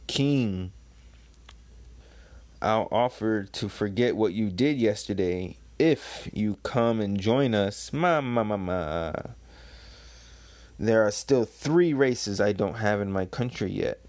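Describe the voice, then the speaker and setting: A huge, greedy old pirate woman from a comic, put on by a man reading aloud. The delivery is slow and domineering, with a cackling edge. The recording is dry. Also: lowish voice, darkish tone, no echo. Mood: coaxing. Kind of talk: comic book read aloud in character.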